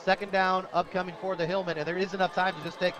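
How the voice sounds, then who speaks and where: A man with an American accent calling a live high school football game with an emphatic, excitable sportscaster delivery. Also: fast talker. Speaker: play-by-play radio announcer. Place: outdoor football stadium broadcast.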